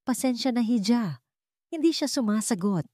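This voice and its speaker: A woman's voice: fairly high pitch, lively intonation, moderate pace, mellow, dry recording, clean studio sound, pitched above the performer's own voice. Woman as a cautious, pitying elderly lady. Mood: apologetic, pitying. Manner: reserved, apologetic.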